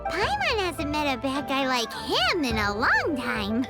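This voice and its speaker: Tiny excitable fairy companion in a game: very high, wildly lively, over loud music. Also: female voice, measured pace, exaggerated voice. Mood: excited. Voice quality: squeaky childlike high voice. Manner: excitable, refers to herself in third person. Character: small chirpy fairy companion, curious and bold. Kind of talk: video game dub acting.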